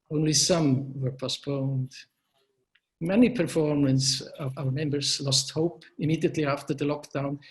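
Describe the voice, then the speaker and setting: A man's voice: a little high, rough recording. Earnest man. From Swiss and Italian. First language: German. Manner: earnest, slightly halting non-native phrasing. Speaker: poet and organization director, panel presenter. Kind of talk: prepared presentation on a video call. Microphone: video call.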